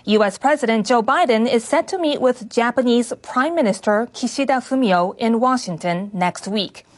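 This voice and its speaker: A woman reading scripted international news for radio as a newsreader. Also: a little high. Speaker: news reader.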